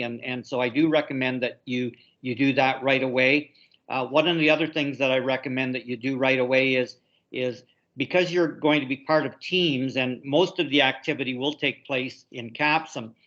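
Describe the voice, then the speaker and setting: A man speaking as an instructor on a conference call, giving informal step-by-step advice and often doubling back on his words in a halting way. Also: medium pitch, steady tone, a bit bright. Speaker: university instructor. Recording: slightly dull conference-call audio.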